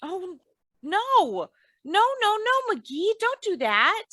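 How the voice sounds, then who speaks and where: A woman playing a patient, sensible, gently teasing housewife in old-time radio comedy, with a folksy, gently chiding manner. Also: very high-pitched, big pitch swings, slow, no room sound, clean studio sound, heavily acted. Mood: flustered.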